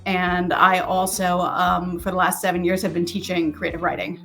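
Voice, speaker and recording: A woman's voice: fairly low pitch, little pitch movement, moderate pace, fairly bright tone, soft background music. An American woman around forty, from Connecticut, talking casually as an interview guest on a video call and telling her own story. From Connecticut, United States. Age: 30s or 40s. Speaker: interview guest, author and teacher.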